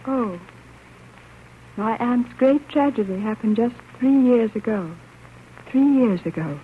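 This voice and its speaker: Actress as solemn fifteen-year-old girl, composed, polite, highish voice, 1930s radio drama, narrow tinny sound. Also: slight background noise, natural voice. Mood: solemn, hushed. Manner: polite, measured, self-possessed.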